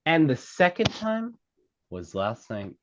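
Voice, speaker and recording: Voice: medium pitch, huge pitch swings, takes it slowly, muffled recording, slight background noise. An actor at a script table read playing a blunt, insistent comedy club worker, emphatic and stumbling over lines. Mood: earnest, forceful.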